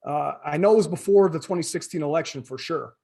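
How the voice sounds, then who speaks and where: An American man from the Chicago area talking as an interview guest in a conversational, halting way that repeats words. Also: fairly high pitch, vocal fry, mellow, husky. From Chicago area, born in former Yugoslavia. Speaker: podcast guest, attorney.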